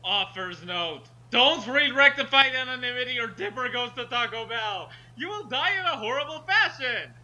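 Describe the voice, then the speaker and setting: A man with a high and very sharp, bright voice joins a group story reading. He tosses in jokey, geeky commentary and reads bits aloud in a mock-serious way. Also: animated, moderate pace, hardly any pauses, low-quality recording. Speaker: co-reader and commentator. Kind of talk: casual joking commentary and mock read-aloud.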